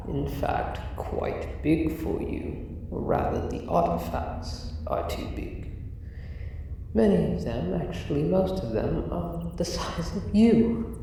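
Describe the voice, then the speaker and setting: A man voicing a huge, condescending dragon who guards his treasure, speaking in a deliberate, mock-polite way in an improvised ASMR roleplay. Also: fairly high pitch, creaky, dark tone, heavily acted. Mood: amused, questioning. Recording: roomy echo, distant, faint noise, cave ambience. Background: cave ambience.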